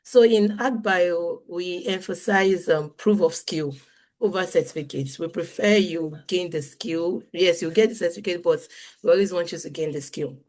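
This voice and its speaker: A woman hosting an online interview, friendly, laughing lightly and reading audience questions quickly. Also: lowish voice, darkish tone. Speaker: host.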